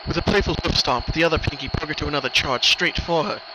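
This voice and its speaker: A man reading a scripted horror story as a dramatic narrator. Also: fast talker. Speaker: narrator of horror fan fiction. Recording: noisy recording, rumbling ambient background.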